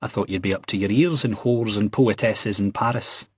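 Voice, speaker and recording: A man's voice: fairly low pitch, moderate pace, husky, no echo, clean studio sound. A man voicing an earnest, cheeky young Scottish man in an audiobook, blunt and insistent. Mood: cheeky, curious.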